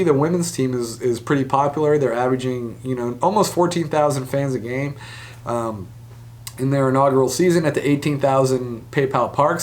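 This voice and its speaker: A man hosting a sports show, giving casual, conversational commentary with speculative asides. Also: mid-range voice. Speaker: sports podcast host.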